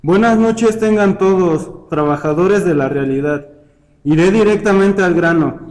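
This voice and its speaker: A man's voice: sits high, a little slow, a little mellow. A man playing a serious young worker who addresses his fellow labourers in a solemn, accusing, oratorical way in an audio story. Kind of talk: dramatized speech in an amateur audio story.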